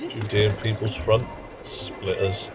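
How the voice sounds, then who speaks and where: A low-voiced man streams a game live and chats with his viewers in a dry, wry way. He mutters little asides as he plays, and game music runs clearly underneath. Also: level tone, slow. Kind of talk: live gameplay commentary chatting with viewers.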